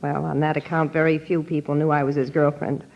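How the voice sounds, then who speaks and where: Low-voiced, hard-boiled gangster's girlfriend. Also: little pitch movement, fairly quick, creaky, darkish tone. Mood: guarded, businesslike. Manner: tough, slangy, urgent talk. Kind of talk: old-time radio crime drama acting. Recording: dull, lo-fi old radio recording.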